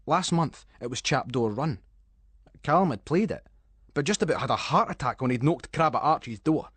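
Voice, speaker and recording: A man's voice: on the high side, husky, no echo. A man with a Scottish accent giving a dramatic, suspenseful narration of a story in colloquial Scots dialect.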